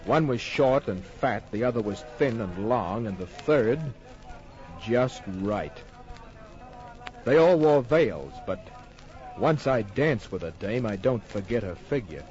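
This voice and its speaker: An actor playing a tough private detective, narrating his case in clipped, wry, deadpan old-time radio style. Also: fairly low pitch, slow, muffled recording, faint music behind. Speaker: lead narrator.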